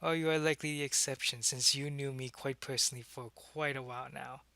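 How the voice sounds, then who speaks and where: Casting: male actor for secretive alien operative, ASMR roleplay. Medium-pitched, gravelly, earnest and hesitant as he explains himself, close dry sound. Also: steady tone, a little fast, sharp tone, no echo. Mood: hesitant, explanatory. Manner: hesitant, explanatory, intimate one-sided conversation. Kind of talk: ASMR roleplay, acted monologue to the listener.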